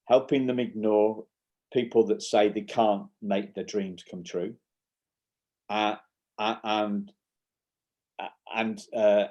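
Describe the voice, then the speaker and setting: A man in his fifties with a Yorkshire accent talks in a somewhat low voice over a video call. He explains things in short bursts, restarting his sentences and leaning on fillers as he goes. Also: darkish tone. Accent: Yorkshire English. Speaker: guest, educational publisher and school workshop speaker. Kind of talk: conversational interview.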